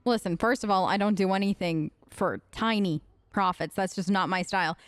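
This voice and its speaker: A woman's voice: medium pitch, normal speed, dry recording, studio quality. A woman hosting a role-play game let's play, talking casually in a sassy, rambling way. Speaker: gaming host, role-playing her character.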